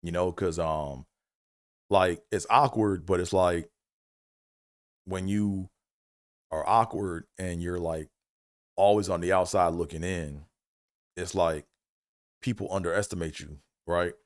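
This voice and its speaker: A middle-aged man from Maryland with a very deep voice holds a casual solo livestream chat. He rambles loosely and leans on fillers and "you know what I'm saying?" The sound is dry and close, with no room around it. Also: steady tone, takes it slowly, pauses often, dry recording. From Maryland, USA. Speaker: comedian and engineer hosting a livestream.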